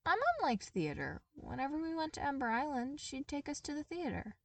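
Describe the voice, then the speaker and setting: Woman playing an awkward teenage boy, anxious, hesitant, apologetic, wounded, pitched up, storybook character, a bit off the mic. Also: big pitch swings, a little fast, vocal fry, no echo, far from the mic, full character voice. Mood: earnest, subdued. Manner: nervous, hesitant.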